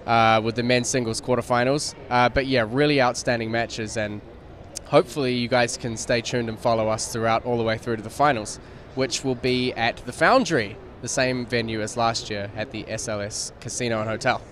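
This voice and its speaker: A man in his thirties from New Zealand, a media director giving a relaxed live recap at a tournament studio desk on a handheld mic, with many fillers. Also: mid-range voice, normal speed, slight background noise. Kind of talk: live sports show analysis. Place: studio set at a convention center.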